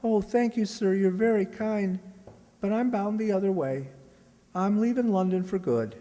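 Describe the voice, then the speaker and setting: An earnest, put-upon young servant boy voiced by a woman in a children's radio drama. The voice is mellow and unforced, polite and pleading, with a long hall reverb around it. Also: mid-range voice, normal speed, natural voice. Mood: polite, resolute. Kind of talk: children's radio play acting. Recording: hall-like reverberant stage sound.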